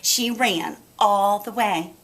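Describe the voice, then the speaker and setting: A woman's voice: mid-range voice, slow pace, a bit bright. A woman reading a picture book aloud to children in a dramatic, emphatic style. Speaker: storybook reader. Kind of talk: expressive children's read-aloud narration.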